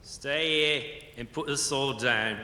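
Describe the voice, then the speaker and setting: Harsh, forceful, bullying soldier. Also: male voice, mid-range voice, slow. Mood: curt. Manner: coarse, mocking, rustic speech. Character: gruff, bullying, foul-mouthed fantasy soldier on guard. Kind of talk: fantasy audio drama acting. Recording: echoey, reverberant, set back from mic.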